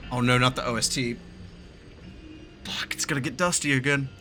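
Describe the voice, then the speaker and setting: A man's voice: medium pitch, fairly even intonation, normal speed, pushed and bright, soft background music. A man giving casual, sweary, joking reaction commentary while playing a game. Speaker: game streamer reacting to cutscenes.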